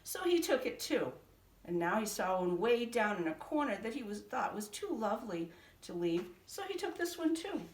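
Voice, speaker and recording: A woman's voice: fairly high pitch, moderate pace. Woman reading a picture book aloud. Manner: sing-song, expressive read-aloud. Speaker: storybook reader. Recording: roomy echo, from a distance.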